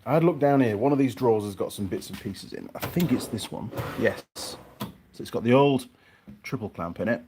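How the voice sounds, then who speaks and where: A man's voice: mid-range voice, expressive, a little fast, mellow. A man chatting casually as a vlog host and mechanic, muttering and meandering as he goes. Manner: muttering to himself, hesitant, meandering. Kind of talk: casual rambling workshop vlog. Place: workshop. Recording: noisy, clicks and rustling of parts.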